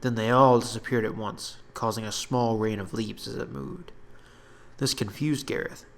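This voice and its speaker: Guy reading his fantasy book out loud, audiobook style, puts drama into it. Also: medium pitch, steady tone, normal speed, creaky. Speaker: author reading own book.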